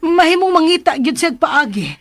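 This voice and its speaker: An actress playing a caring, worried mother in a radio drama, gentle but insistent as she cautions. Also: very high-pitched, animated, moderate pace, background noise. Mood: worried, cautioning.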